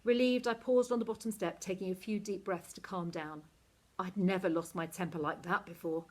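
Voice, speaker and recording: A woman narrating a children's novel aloud in an expressive, animated teacher's reading style. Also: fairly low pitch, moderate pace.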